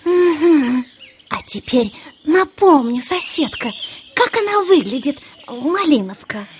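An actress in a children's audio play playing a young, naive, eager cuckoo who exclaims and asks wide-eyed questions. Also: female voice, high voice, expressive, slow pace, slight background noise. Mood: puzzled, surprised. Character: young naive female cuckoo, eager first-time mother.

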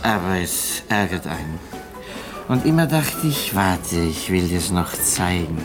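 Casting: washed-up former student for radio drama, bitter and rambling, deep-ish slow delivery over music. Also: male voice. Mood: bitter, self-pitying. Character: bitter, self-pitying failed medical student, down and out. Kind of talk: German radio play acting.

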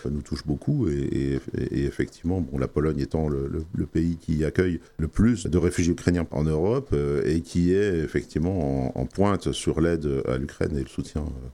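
A man with an exceptionally deep voice and a dark, mellow tone. He speaks as a radio interview guest, hesitant and thoughtful, filling pauses with frequent fillers. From France. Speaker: association president.

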